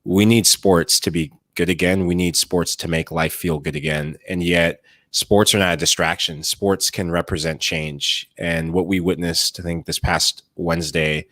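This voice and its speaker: A man, a former pro player on a podcast panel, speaking earnestly and in measured phrases. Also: low-pitched, steady tone, fairly bright tone, no echo, studio quality. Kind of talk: earnest speech.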